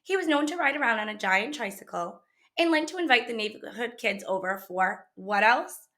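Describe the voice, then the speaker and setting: A woman with a fairly high, very bright voice reads a picture book aloud for children. She tells the story expressively, in the manner of a schoolteacher, shaping the lines for young listeners. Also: expressive, moderate pace. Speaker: teacher reading a book aloud.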